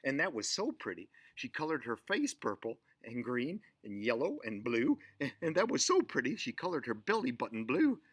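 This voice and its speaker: A man reading a children's story aloud in an expressive, theatrical way. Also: medium pitch, moderate pace, hardly any pauses, breathy edge, no echo. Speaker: storyteller and show host.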